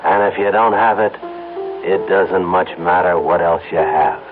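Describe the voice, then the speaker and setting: An American actor playing a smitten, excitable advertising man in an old-time radio drama, effusive and dreamy. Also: low voice, unhurried. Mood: rapturous. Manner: effusive, given to dreamy rhapsodies. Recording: muffled vintage radio broadcast, music behind.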